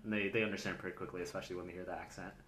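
A man hosting a casual chat, halting, with many fillers and restarts. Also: sits low, level tone, crowd noise. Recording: echoey room.

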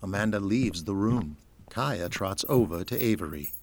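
A man's voice: lowish voice, animated, normal speed. A man narrating an audio drama in a scripted, dramatic present-tense style.

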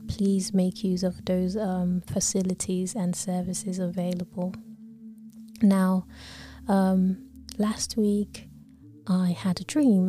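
Woman from Nigeria, solo podcast host, slow and halting, mellow low voice, drifts into whispers. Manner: hesitant, many pauses and fillers.

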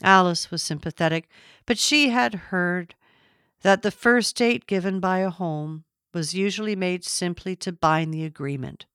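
Low-pitched woman reading literary fiction, dry sound. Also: fairly bright tone, no echo. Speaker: audiobook narrator. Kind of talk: scripted literary narration.

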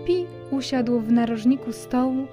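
A woman's voice: a little high, measured pace. A woman narrator reading a scripted children's story. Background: music.

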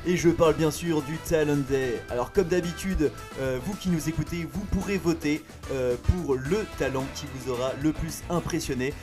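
A man's voice: a little high, moderate pace, hardly any pauses, over music, close to an everyday voice. A man playing a flustered, pompous radio talent-show host who stumbles through his lines with many filler sounds. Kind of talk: comedy radio sketch.

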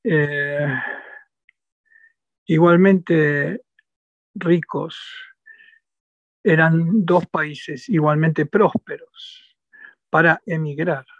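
Gravelly Argentine man, slow and emphatic. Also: fairly high pitch, fairly even intonation, dry recording. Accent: Argentine Spanish. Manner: measured, emphatic, repeats phrases while thinking. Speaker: interview guest. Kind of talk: reflective interview talk. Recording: dull lo-fi dry sound.

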